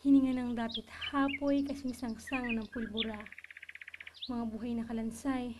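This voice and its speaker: A woman reciting literary poetry in a solemn manner with measured phrasing. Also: fairly high pitch, flat delivery, background noise. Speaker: poetry reader.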